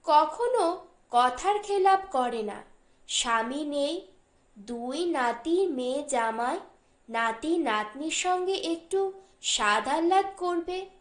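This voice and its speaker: A woman's voice: sits high, expressive, takes it slowly. A woman reading a short story aloud as an audiobook narrator, in a roomy, echoing recording.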